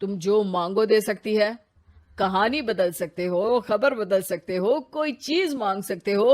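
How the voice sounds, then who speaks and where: A woman voicing a talkative, cheeky male magic television in a cartoon story, teasing the family in a slow, chatty manner. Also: medium pitch, darkish tone, lightly acted. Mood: boastful. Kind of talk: Hindi cartoon voice acting.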